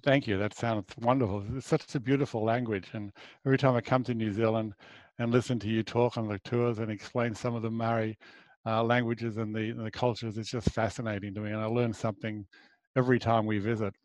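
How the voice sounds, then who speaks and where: A man's voice: on the low side, little pitch movement, brisk, a little mellow, dry recording. Brief: Australian male travel host, upbeat and affirming, dry close-mic sound. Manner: enthusiastic, affirming. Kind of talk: scripted intro then interview chat.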